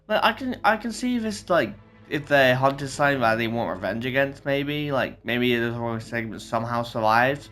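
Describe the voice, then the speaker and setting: A man's voice: medium pitch, lively intonation, normal speed, a bit bright, soft background music. A man giving casual live commentary as a game streamer, thinking aloud hesitantly about the story.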